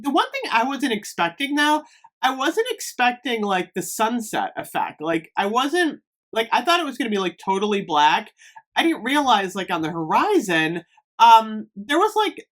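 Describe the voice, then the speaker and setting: A woman from Northeast Ohio vlogging casually about fragrances, slightly hoarse, chatty with lots of fillers. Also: medium pitch, a bit bright. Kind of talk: casual chat to camera.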